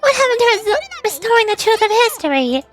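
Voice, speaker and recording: A woman streamer doing a playful, expressive game read-along, acting out dialogue in a cartoonish manner. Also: extremely high pitch, fairly quick, faint music behind. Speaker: VTuber streamer reading game dialogue aloud.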